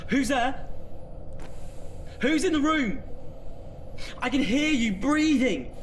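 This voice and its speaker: Anxious young British student, high voice, swooping intonation, self-scolding, audio drama monologue. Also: male voice, lively intonation, takes it slowly, a little hiss or hum, exaggerated voice. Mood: panicked. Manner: jittery, muttering self-talk with sighs.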